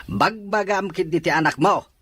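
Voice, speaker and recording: A man's voice: high voice, a little slow, a bit bright, husky, no room sound, exaggerated voice. A man playing a stern, disapproving Ilocano father in an audio drama, scolding emphatically in an Ilocano accent. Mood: scolding, dismissive.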